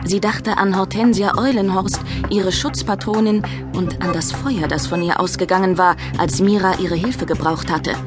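A woman's voice: medium pitch, fairly even intonation, moderate pace, music in the background. A woman reading scripted narration for a children's audiobook.

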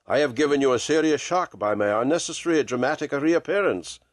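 A man voicing a lean gentleman detective in a storybook, measured, precise and nonchalant, cool and commanding. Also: on the high side, dry recording, pitched below the performer's own voice, natural voice. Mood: composed, assured.

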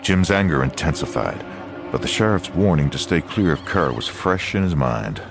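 Very deep, gravelly male western narrator. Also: lively intonation, normal speed, over music. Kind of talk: scripted western audiobook narration.

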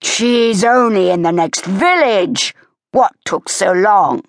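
A woman voicing a short, energetic father who scolds his daughter in an exasperated way. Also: medium pitch, slow pace, dry recording, studio quality, pitched above the performer's own voice. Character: short, brisk, fussing father, a dynamo of a man.

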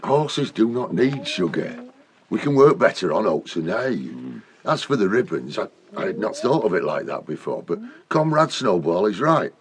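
An actor playing a big, loyal, simple cart horse, speaking plainly and solemnly in a radio drama. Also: male voice, on the low side, sing-song, normal speed.

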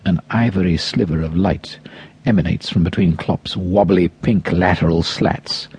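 A man gives a theatrical audiobook narration in a very husky, gravelly voice. He takes it slowly, savouring each word and leaving long pauses. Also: low voice, a little mellow. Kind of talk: dramatic first-person scripted narration.

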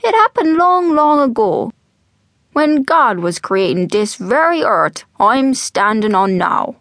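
A woman voicing a mischievous, wheezy old male storyteller in an audiobook, slow and conspiratorial, slipping into whispers. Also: fairly high pitch, big pitch swings, a bit bright, dry recording, studio quality, pitched above the performer's own voice, heavily acted. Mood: wistful, mysterious. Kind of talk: audiobook character voice.

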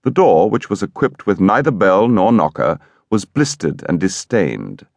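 A man narrating literary fiction in a measured, dramatic way as an audiobook reader. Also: sits low, no echo.

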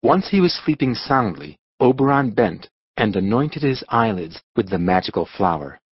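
A man narrating a storybook as an audiobook reader, in a dramatic, expressive scripted style. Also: fairly low pitch, moderate pace, slightly rough, no room sound, highs cut off.

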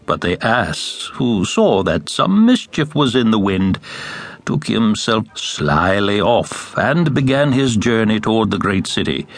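Animated male storyteller over music. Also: on the low side, a little slow. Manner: expressive storytelling. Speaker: audiobook narrator. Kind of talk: scripted fairy tale narration.

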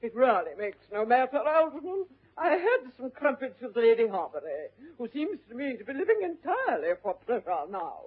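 An actress playing an imperious older upper-class English aunt in a classic stage comedy, with a haughty, deliberate, declamatory delivery. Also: female voice, a little high, very animated, breathy edge. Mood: gracious, lofty. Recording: muffled vintage narrow-band radio recording.

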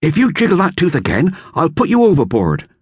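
A man's voice: on the high side, wildly swooping pitch, a little fast, husky, no room sound, voice pushed up, exaggerated voice. A man voicing Bill, a blunt, bossy young boy, in an audiobook. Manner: blunt, commanding. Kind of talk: audiobook character voice.

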